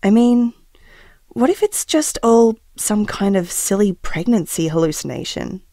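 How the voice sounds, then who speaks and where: A woman's voice: mid-range voice, unhurried, mellow, no room sound, clean studio sound. A woman playing an earnest expectant mother in an audio drama, confiding reflectively and hesitantly as if to a taped diary. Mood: doubtful, hesitant.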